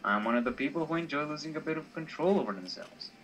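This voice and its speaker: A man voicing a calm, dry-witted, worldly male companion in a visual novel, speaking in a measured, understated way. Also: on the high side, voice pushed up, low-quality recording. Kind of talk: amateur visual novel voice acting. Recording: faint music under the voice. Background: faint music.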